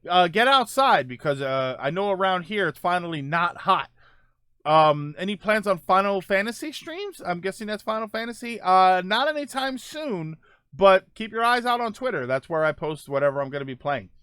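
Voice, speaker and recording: Guy hosting his stream, reads chat and rambles, kinda higher voice, dry close mic. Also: big pitch swings, normal speed, bright, forceful tone, clean tone, dry recording. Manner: loose and rambling, many fillers and restarts. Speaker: livestream show host. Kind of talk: casual live-stream chat, reading viewer comments.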